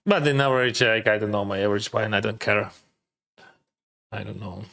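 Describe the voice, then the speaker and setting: A man's voice: fairly low pitch, a little fast, vocal fry, a bit bright. A man streaming poker, chatting casually and thinking his plays through aloud in lightly accented English. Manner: mildly accented non-native English. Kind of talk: casual live-stream commentary.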